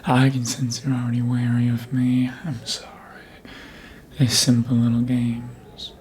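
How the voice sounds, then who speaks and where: A performer voicing a sly, seductive, manipulative vampire in a breathy ASMR whisper, coaxing slowly and deliberately as if hypnotizing. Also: medium pitch, completely flat delivery, a little mellow, natural voice. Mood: soothing, sly.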